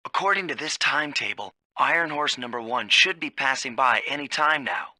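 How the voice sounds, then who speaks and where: A man's voice: medium pitch, expressive, a little fast, sharp tone, no echo. A man voicing a suave young animal thief in a cartoon video game, wry, casual and unflappable. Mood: cool, confident. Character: suave, laid-back, wisecracking young male animal thief.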